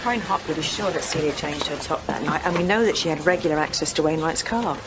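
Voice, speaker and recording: A woman's voice: deep voice, fast, natural voice. A woman playing a focused, direct homicide detective in an audio drama. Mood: matter-of-fact. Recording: clear background noise, ambience.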